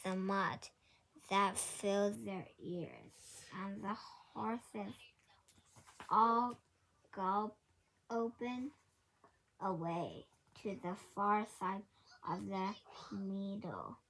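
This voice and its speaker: Very slow, halting woman reading a storybook. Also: mid-range voice, animated, a bit bright, rough recording. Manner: halting, word-by-word reading with stumbles and self-corrections. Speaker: storybook reader. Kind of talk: children's picture book read aloud.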